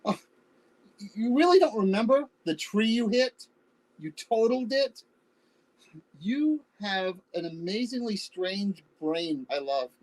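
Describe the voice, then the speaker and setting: Actor as older spouse in a stage play: very animated intonation, wry, bantering, conversational, fond. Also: mid-range voice, slow pace, low-quality recording. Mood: teasing. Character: wry, teasing older spouse reminiscing fondly. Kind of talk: staged reading of a short play.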